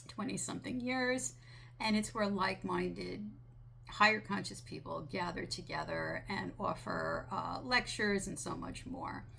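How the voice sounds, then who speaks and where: Woman channeler, raspy from a sinus infection, lowish gravelly voice, unhurried earnest delivery. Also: a little hiss or hum. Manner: slow, gentle, earnest with small restarts. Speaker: spiritual channeler. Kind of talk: relaxed monologue relaying a channeled message.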